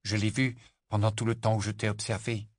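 A man's voice: fairly low pitch, flat delivery, a little fast, dry recording, studio quality, natural voice. A man playing a sullen, brooding boy. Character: sullen, guarded young boy.

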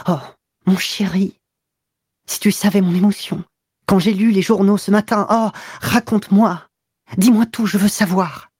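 A man's voice: sits high, fairly even intonation, unhurried, a little mellow, slightly rough, dry recording, clean studio sound, pitched above the performer's own voice. A man voicing a passionate, possessive young woman in an audiobook, speaking in a raised, breathless, pleading way. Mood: excited.

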